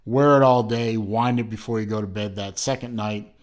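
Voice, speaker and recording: A man's voice: on the low side, steady tone, moderate pace. A man hosting his own channel, reviewing a watch in a rambling, casual way with lots of fillers and restarts, filming at home from behind the camera.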